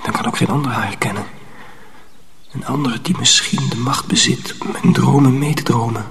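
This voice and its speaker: A man's voice: mid-range voice, normal speed, natural voice. Man playing guarded young apprentice, noisy recording. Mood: dreamy, tender. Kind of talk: radio drama acting. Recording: noisy radio play recording.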